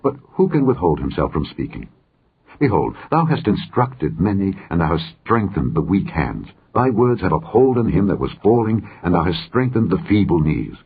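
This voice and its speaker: A man's voice: low-pitched, big pitch swings, normal speed, slightly rough, exaggerated voice. Man reading as a stern reproving friend, tinny. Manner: formal, admonishing, rhetorical. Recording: tinny, phone-like narrow-band recording.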